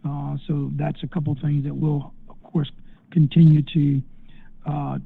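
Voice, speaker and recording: A man answering questions as a company executive on an earnings call, speaking haltingly and repeating words. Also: on the high side, flat delivery, creaky, breathy edge. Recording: dull band-limited conference call audio.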